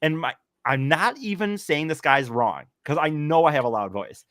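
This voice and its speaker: A man co-hosting a podcast, telling stories casually in a loud, animated way. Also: on the high side, moderate pace, dry recording, clean studio sound. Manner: loud-voiced, animated storyteller.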